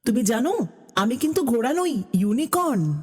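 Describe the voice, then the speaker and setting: A woman voicing a proud, gentle magical unicorn in a cartoon fairy tale. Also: a little high, sing-song, moderate pace, breathy edge. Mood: proud, correcting. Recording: long reverb, music behind the voice.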